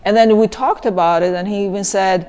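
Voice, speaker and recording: A woman's voice: medium pitch, fairly even intonation, fast talker, clear, resonant tone. A middle-aged woman with an Armenian accent, an immigration lawyer chatting in an interview, full of fillers like "you know" and "like". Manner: many fillers, chatty and warm about family. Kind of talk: casual interview chat.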